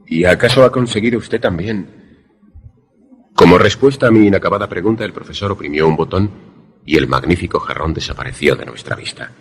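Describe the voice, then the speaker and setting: Male student-narrator for suspense radio drama, man acting: very low, husky, formal and deferential, measured literary delivery. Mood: awed, eager.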